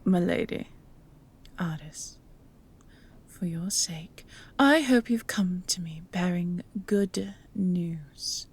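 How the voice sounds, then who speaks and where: A woman playing a cold, menacing evil noblewoman in a fantasy audio drama, imperious, commanding and threatening in her deliberate delivery. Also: on the low side, husky, full character voice. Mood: cold, impatient.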